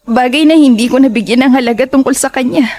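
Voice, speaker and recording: A woman playing a grave, hesitant young woman in an audio horror drama, speaking in a measured, troubled way. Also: high-pitched, darkish tone, lightly acted. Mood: grave, thoughtful.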